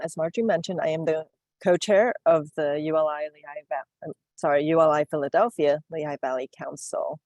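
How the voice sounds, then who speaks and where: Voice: medium pitch, gravelly, a little mellow, dry recording, low-quality recording. A council co-chair welcoming a webinar audience and introducing the program politely. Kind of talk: webinar hosting.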